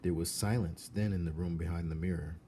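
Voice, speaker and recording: A man narrating a children's novel aloud in an expressive storybook style. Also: low-pitched, fairly quick. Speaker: storybook reader. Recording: faint background noise.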